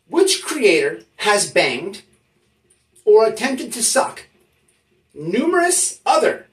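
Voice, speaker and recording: A YouTube host giving mock-serious commentary in an emphatic, halting, mock-official way, often checking in with listeners. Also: mid-range voice, a bit bright. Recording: roomy echo, distant from the mic.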